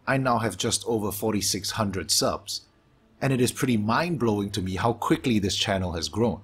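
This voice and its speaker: A man from Singapore with a Singaporean English accent, reading a scripted gaming Q&A voice-over in a steady, measured way from his home hobby room, his s sounds sharp and a low hum behind him. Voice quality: strong sibilance, low hum from aquarium pumps. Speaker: gaming YouTuber.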